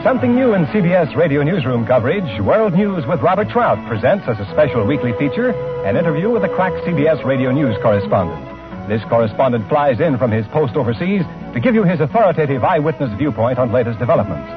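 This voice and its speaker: A man's voice: mid-range voice, sing-song, quick pace. A man announcing on 1950s radio in a formal, polished, emphatic style. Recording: tinny vintage radio broadcast with music.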